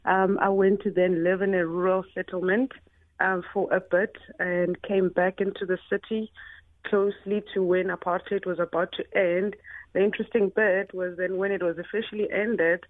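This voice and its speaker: A woman from South Africa in her forties, an entrepreneur speaking in a measured, thoughtful way with frequent pauses. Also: medium pitch, little pitch movement, no room sound. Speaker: entrepreneur and company founder. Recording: tinny phone line.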